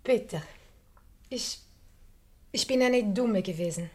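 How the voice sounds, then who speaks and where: An actress playing a contrite, overwrought wife in a Swiss German radio comedy from the 1970s, stammering and melodramatic. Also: female voice, a little high, expressive, slow, a little mellow, slightly rough, exaggerated voice. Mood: contrite, overwhelmed.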